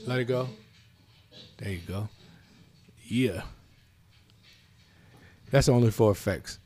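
A man hosting a casual podcast chat in a small studio, loud and playful, swearing freely. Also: mid-range voice, takes it slowly. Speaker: podcast host. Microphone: studio mic.

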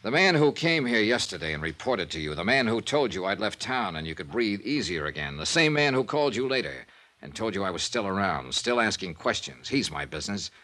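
An actor playing a tough, world-weary American insurance investigator in a 1950s radio drama, clipped, hardboiled and deadpan. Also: male voice, fairly low pitch, brisk. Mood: firm, probing. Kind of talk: 1950s radio detective acting and first-person narration. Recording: vintage radio recording with music.

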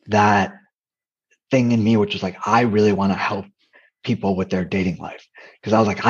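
A man with a soft voice, speaking candidly as an interview guest, hesitant with frequent fillers and restarts. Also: on the low side, husky, lo-fi sound. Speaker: interview guest, comedian and dating coach.

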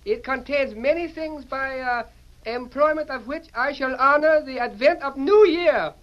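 A man's voice: very high voice, measured pace. An actor playing a polite, dignified little laundryman in an old radio Western, speaking formally. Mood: courteous. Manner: formal, ceremonious. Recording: dull vintage radio recording.

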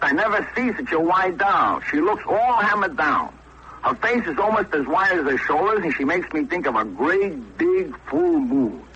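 A man's voice: fairly high pitch, bright, forceful tone, a little hiss or hum. An American man narrating a slangy comic tale in a 1940s radio style, on a dull old recording. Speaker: storyteller.

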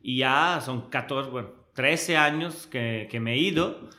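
A man. His voice sits at an ordinary middle pitch. He talks in a reflective, storytelling way, often pausing to hunt for the right word as he looks back on his life. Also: sharp tone. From Switzerland. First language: Italian. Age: early 30s. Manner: reflective, storytelling, searches for words. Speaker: guest. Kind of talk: conversational interview.

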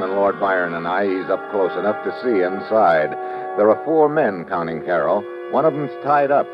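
Man acting a low-voiced hard-boiled adventurer, old radio. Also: close to an everyday voice. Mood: tense narration. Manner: clipped, hard-boiled, slangy. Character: tough, wry adventurer hero narrating his own story. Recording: dull old radio recording with music.

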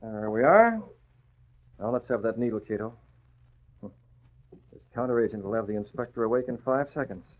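An actor playing a cool, commanding masked vigilante in a 1940s radio drama, giving clipped, taunting orders. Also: male voice, mid-range voice, animated, moderate pace, pauses often, natural voice. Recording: muffled tinny vintage radio recording.

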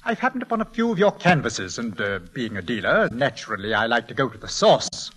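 Husky, animated, smooth-talking art dealer. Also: male voice, mid-range voice, fairly quick. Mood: ingratiating. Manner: smooth-talking, insistent, formal. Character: suave, persistent male London art dealer. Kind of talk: old-time radio drama acting.

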